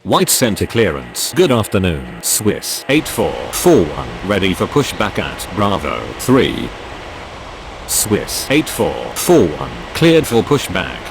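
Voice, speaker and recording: A man playing a formal airport radio voice in a flight game. His pitch is deep but swings very widely through the procedural phrases. Clear steady noise runs behind him. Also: slow pace, full character voice. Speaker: air traffic radio voice, pilot and controller. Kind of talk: scripted aviation radio phraseology.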